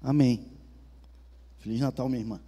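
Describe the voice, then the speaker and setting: A man's voice: mid-range voice, steady tone, normal speed. A man with a southern Brazilian accent preaching a sermon, talking with the congregation and asking them questions. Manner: conversational, asks the congregation questions. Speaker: pastor. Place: church hall. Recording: reverberant church hall with soft music.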